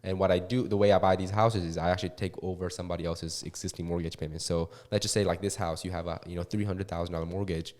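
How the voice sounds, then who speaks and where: Fast-talking young man, low voice. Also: steady tone, a little mellow. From Korea and California. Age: 20s. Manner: fast, halting, with many restarts and fillers. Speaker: guest, real estate investor. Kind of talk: casual interview talk.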